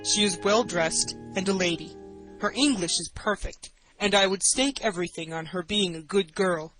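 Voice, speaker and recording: A woman's voice: mid-range voice, normal speed, a bit bright, soft background music, close to an everyday voice, poor audio. A woman voicing a kindly, authoritative male judge in an audiobook. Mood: kind, paternal. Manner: authoritative, paternal. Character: kindly male judge, harsh-toned at first, soft-hearted.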